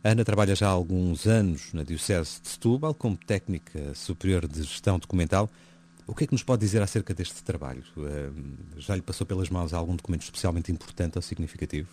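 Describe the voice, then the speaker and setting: A man with a European Portuguese accent presenting a religious radio program and interviewing a guest in a measured, even announcer delivery. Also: deep voice, a little hiss or hum.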